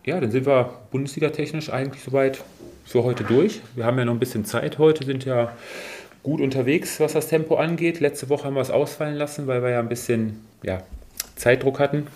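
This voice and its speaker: A man hosting a casual football podcast, chatting in a relaxed way with many fillers. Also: mid-range voice, a little fast. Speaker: podcast host.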